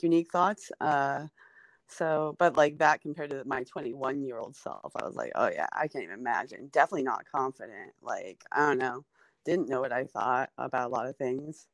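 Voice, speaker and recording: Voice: mid-range voice, no room sound. A comedian in their forties, guesting on a podcast in casual, rambling chat, full of fillers. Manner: rambling, filler-heavy, chatty.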